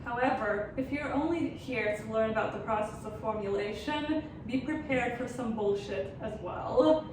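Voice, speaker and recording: A woman in her thirties, a former postdoc, co-hosting a science lesson with dry, deadpan humor. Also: fairly high pitch, moderate pace, few pauses, background noise. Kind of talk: casual educational chat. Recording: echoey room.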